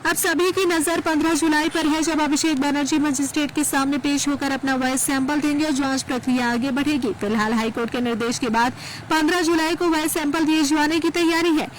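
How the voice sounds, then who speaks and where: High-pitched woman reading news. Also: flat delivery, fairly quick, slight background noise, low-quality recording. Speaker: news presenter. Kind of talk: scripted news narration.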